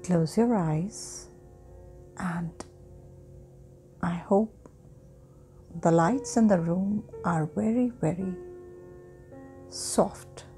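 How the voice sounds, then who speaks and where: A woman guiding a sleep hypnosis meditation in a soft, breathy, often near-whispering voice with long pauses. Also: on the low side. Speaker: guided meditation host. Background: meditation music.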